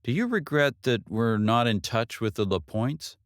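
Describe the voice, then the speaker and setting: A man's voice: mid-range voice, sing-song, measured pace, no room sound, studio quality. A man voicing a confident, defensive grown daughter in a story, speaking in an earnest, pointed way. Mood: questioning.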